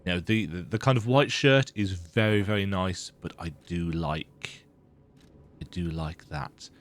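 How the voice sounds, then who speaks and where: Croaky man chatting over a game. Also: low-pitched, unhurried, slight background noise. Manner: chatty, self-deprecating, rambling. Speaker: let's play gamer. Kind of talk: casual gameplay commentary.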